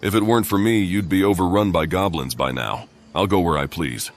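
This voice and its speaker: A man's voice: low voice, fairly quick. An actor playing a firm, composed male paladin in a fantasy game, speaking formally and directly. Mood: defiant. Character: firm, authoritative male paladin judging fairly. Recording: music behind the voice.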